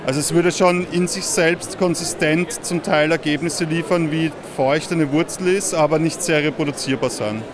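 A man explaining his product at a fair stand, talking fast and casually. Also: a little high, fairly even intonation, background noise. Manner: quick, casual, laughs often. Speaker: startup founder at a fair stand. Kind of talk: interview answers. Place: busy indoor fair hall.

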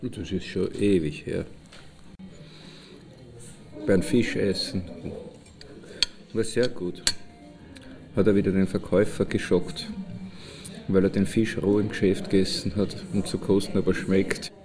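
A man's voice: deep voice, fairly even intonation, a little slow, long pauses, over music. A man reminiscing casually in short, plain phrases.

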